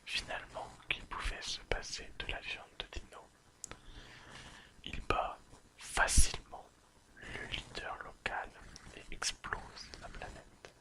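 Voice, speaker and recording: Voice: slow pace, rough recording. A person whispering an ASMR episode recap in a careful reading manner. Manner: careful reading, apologises and corrects slips. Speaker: ASMR video creator.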